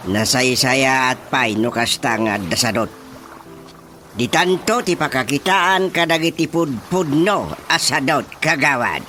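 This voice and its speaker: A man's voice: medium pitch, expressive, unhurried, sharp tone. An actor playing a mature male village chief in an Ilocano radio drama. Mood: concerned. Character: male village chief and father, middle-aged or older. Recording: music under the dialogue.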